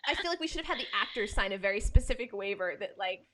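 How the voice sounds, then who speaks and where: A woman's voice: mid-range voice, expressive, fast. A woman from the United States hosting an arts podcast in a casual, enthusiastic and effusive chatting style. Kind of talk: casual chat, introducing guests.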